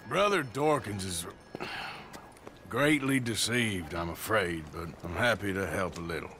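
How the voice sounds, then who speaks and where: An actor playing a gruff male outlaw in his thirties with dry, low-key humor. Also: unhurried, pushed and bright. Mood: steady. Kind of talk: video game cutscene acting. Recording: game audio with street noise. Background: street noise.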